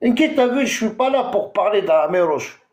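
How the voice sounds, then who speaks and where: A man's voice: high voice, expressive, moderate pace, a little mellow, roomy sound. A man from Algeria, hosting a TikTok live and ranting at someone with many hesitations. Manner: confrontational, repetitive, full of hesitations. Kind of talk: heated live-stream rant.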